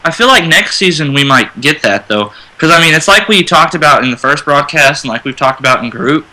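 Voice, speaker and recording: A man's voice: fairly high pitch, lively intonation, a little fast, bright, forceful tone, low-quality recording. A man in a casual fan discussion, explaining his view and rewording as he goes. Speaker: fan radio panelist.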